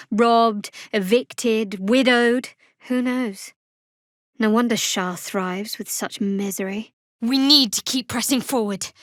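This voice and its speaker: A woman playing a guarded, sardonic young cleric of a dark goddess in a video game, with dry, clipped delivery. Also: medium pitch, dry recording, close to an everyday voice. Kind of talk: video game character dialogue.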